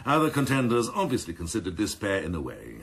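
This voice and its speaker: A man's voice: fairly low pitch, huge pitch swings, fast, breathy edge, full character voice. An actor playing a sardonic senior policeman in a radio drama, scornful and mock-deferential, with ornate, sarcastic phrasing. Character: sardonic, scornful senior police Assistant Chief, needling his boss.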